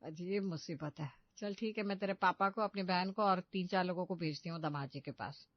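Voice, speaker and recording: A woman playing the groom's middle-aged mother in an animated comedy story, exasperated, nagging and full of scheming instructions. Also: on the low side, fairly quick, dry recording, close to an everyday voice. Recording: dull, lo-fi studio voice-over.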